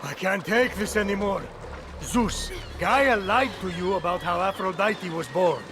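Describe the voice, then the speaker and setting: An actor playing a long-suffering mythic storyteller in a video game, poetic and dryly exasperated. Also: male voice, high-pitched, unhurried.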